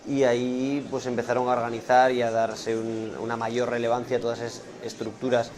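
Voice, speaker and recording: Flat-voiced man, matter-of-fact account. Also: mid-range voice, a little fast, a little hiss or hum. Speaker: interviewee. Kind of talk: documentary interview.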